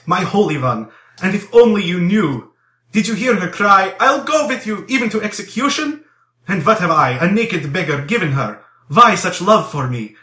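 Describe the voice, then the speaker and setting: An impassioned young man under arrest, acted out by a male narrator, pleads and exclaims in a bright, forceful voice. His pitch leaps around with frantic effusiveness, repeating words as he goes, in a roomy, echoing recording of a storybook. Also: distant mic, full character voice. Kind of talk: dramatized audiobook character dialogue. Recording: roomy echo.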